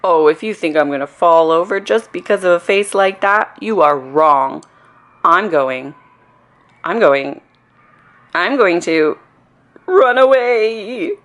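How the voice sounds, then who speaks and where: A woman's voice: fairly low pitch, expressive, takes it slowly, pauses often, fairly bright tone. A woman voicing a blustering male policeman in a storybook, stern, incredulous and boastful. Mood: boastful, then panicky.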